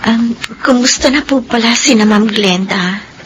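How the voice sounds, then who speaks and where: High-voiced anxious young housemaid played by an actress. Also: female voice, unhurried, slight background noise, close to an everyday voice. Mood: humble, grateful. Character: humble, troubled young pregnant housemaid, polite and anxious. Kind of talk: Filipino radio drama acting.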